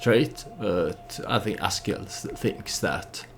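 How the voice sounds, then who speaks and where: Slow, low-pitched man narrating a game. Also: gravelly. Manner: halting, thinking aloud, speaking as his character. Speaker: let's play host. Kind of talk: game commentary with storytelling, unscripted.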